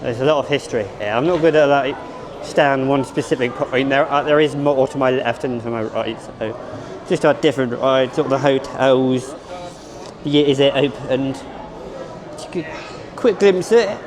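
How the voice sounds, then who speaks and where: A man vlogging at a theme park, rambling and unsure of himself, hoarse as he is losing his voice. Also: medium pitch, moderate pace, a crowd in the background. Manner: rambling, self-correcting, unsure. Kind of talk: casual vlog commentary.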